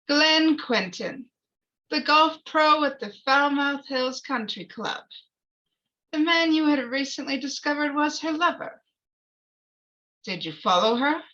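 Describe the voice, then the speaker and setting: Woman playing a sardonic, high-voiced courtroom prosecutor. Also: lively intonation, pushed and bright, heavily acted. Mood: probing. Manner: accusatory, deliberate, sardonic. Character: pressing, sarcastic courtroom prosecutor questioning a witness. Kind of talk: dramatic screenplay reading. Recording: dull, lo-fi recording.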